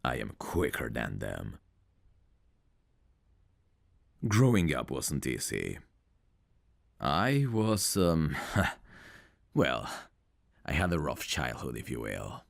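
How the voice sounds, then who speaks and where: A man playing a big, confident, flirtatious champion fighter in an intimate ASMR audio roleplay, speaking in a deliberate, teasing manner. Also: a bass voice, sing-song, gravelly, no room sound, clean studio sound, exaggerated voice. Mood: guarded, candid. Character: big confident champion fighter, smooth, flirtatious, guarded about his past. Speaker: voice actor.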